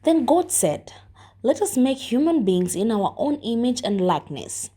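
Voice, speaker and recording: Woman, scripture reading, mellow tone, husky, slightly high pitch. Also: big pitch swings, a little slow. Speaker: volunteer scripture reader.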